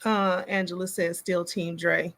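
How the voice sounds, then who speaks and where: Slow-talking woman, casual livestream commentary. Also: on the low side, little pitch movement, a bit bright. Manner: halting, musing, thinks aloud. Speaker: host of a reaction livestream.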